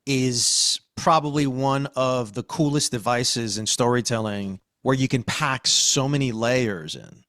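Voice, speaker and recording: An American man co-hosting a podcast, talking through a story in an emphatic, reflective way with dramatic pauses. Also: mid-range voice, fairly bright tone, no echo, clean studio sound. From Queens, New York, United States. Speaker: podcast co-host. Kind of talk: conversational podcast analysis.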